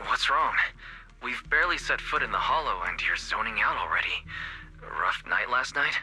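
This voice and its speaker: A man's voice: mid-range voice, expressive, moderate pace, pushed and bright, slightly rough, full character voice. An actor playing a casual, attentive young male companion in an anime-style video game. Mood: concerned, easygoing. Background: music.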